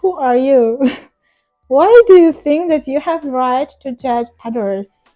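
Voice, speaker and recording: Young non-native woman ESL teacher, high but mellow voice, earnest and encouraging, soft music underneath. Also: lively intonation, slow, dull audio. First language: not English. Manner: earnest, encouraging, direct address to viewers. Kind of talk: talking-head teaching vlog.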